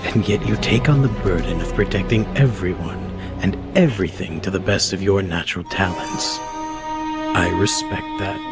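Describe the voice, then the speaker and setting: A man plays a calculating, genteel billionaire villain for a comic dub. His voice is low and his phrasing formal and pompous, with loud music behind. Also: normal speed, natural voice. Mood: smooth, persuasive.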